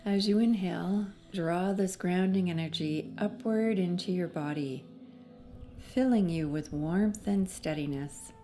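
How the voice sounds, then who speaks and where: A woman narrating a guided meditation in a soothing, measured manner with long pauses between phrases. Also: fairly low pitch, dark tone, soft background music.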